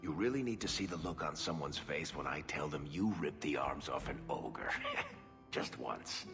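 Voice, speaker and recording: Roguish dwarf storyteller for a game, wry and playful, long reverb, loud music underneath. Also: male voice, rumbling low, moderate pace. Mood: wry, musing. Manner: wry, theatrical storytelling delivery. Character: roguish male dwarf storyteller, cocky, playful, fond of exaggeration. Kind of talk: video game voice acting.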